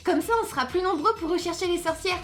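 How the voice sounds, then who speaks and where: A woman voices an eager teenage club schemer in an anime dub. The delivery is pushy and enthusiastic in a high register. Faint music runs underneath. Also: fast talker. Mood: eager, insistent. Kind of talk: French anime fan dub acting.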